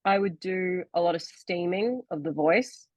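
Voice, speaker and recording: A woman's voice: lowish voice, a little slow, dry recording, poor audio. A young woman co-hosting a podcast, chatting casually and explaining things at length with many fillers over a video call. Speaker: podcast co-host and actor. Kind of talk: casual chat.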